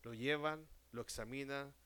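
A man preaching a sermon to a congregation, putting questions to the audience and answering them himself, often dropping to a hush at the end of a phrase. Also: medium pitch, clean tone. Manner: slow and deliberate, drops to a hush at ends of phrases.

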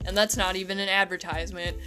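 A young woman co-hosting a podcast in casual chat, blunt and deadpan, teasing and swearing freely. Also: medium pitch, fast talker, music in the background. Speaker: podcast co-host.

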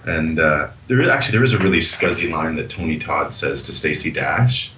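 A man with a very low voice and a bright, forceful tone co-hosts a casual movie podcast. He retells scenes with obvious relish and an animated delivery. A faint noise sits under his voice. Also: brisk. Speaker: podcast co-host. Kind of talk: casual chat reviewing movies.

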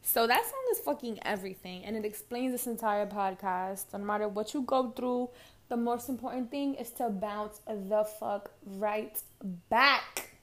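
A woman's voice: medium pitch, measured pace. A young woman from Harlem with a New York accent, hosting her own podcast in a brash, profane, chatty way from her couch at home. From Harlem, New York City. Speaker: podcast host. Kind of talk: casual solo podcast monologue.

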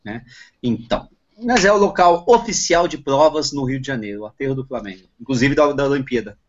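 A man's voice: mid-range voice, fairly quick. Male host, easygoing conversational tone, muffled recording with a faint hiss. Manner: relaxed, tags lines with "né?". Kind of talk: casual chat. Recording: lo-fi with faint noise.

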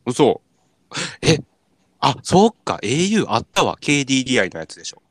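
A man with a Kansai accent co-hosts a casual talk show. His medium-pitched voice moves animatedly as he banters and throws in agreeing interjections. The recording is dry, with hardly any room on it. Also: big pitch swings, brisk, no echo. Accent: Kansai Japanese. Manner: informal, frequent agreeing interjections. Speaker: radio talk show co-host.

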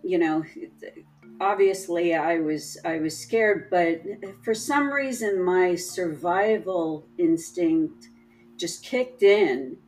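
A woman telling a personal story in casual chat, halting and restarting often, with a trained, projecting voice. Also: fairly low pitch, animated, darkish tone, faint music behind, rough recording. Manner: slow, halting, many restarts.

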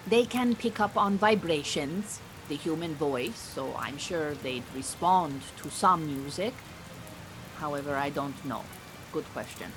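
An actress playing a proud, disillusioned Iranian refugee beekeeper in an audio drama, speaking in formal, dry, exacting phrases. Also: female voice, low-pitched, lively intonation, a little slow, noisy background. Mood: guarded. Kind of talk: naturalistic audio drama acting.

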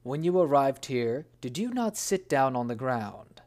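A huge male genie growls his threats low and blunt, as a storybook reader puts him on. His pitch still rolls up and down with feeling. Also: big pitch swings, a little slow, a little mellow. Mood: menacing.

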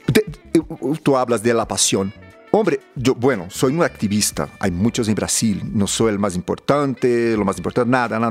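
A Brazilian man who speaks Portuguese natively, giving interview answers in a second language. He talks with passion but often stops to search for words. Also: medium pitch. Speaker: activist guest.

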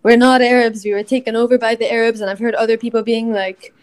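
A woman's voice: fairly high pitch, little pitch movement, fast. A young woman from Syria in a casual group discussion. She talks in a thoughtful way, trailing off in long sentences and laughing often. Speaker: discussion panelist.

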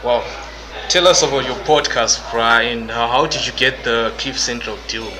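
A man hosts a podcast interview at an average pitch. He asks short questions and nods along with brief replies, and clear crowd chatter sits behind him. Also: steady tone, normal speed, rough recording. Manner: short encouraging responses. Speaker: podcast host.

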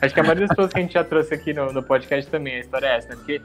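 A man's voice: on the high side, racing, soft background music. A man co-hosting a casual podcast who talks in an offhand way. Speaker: podcast co-host.

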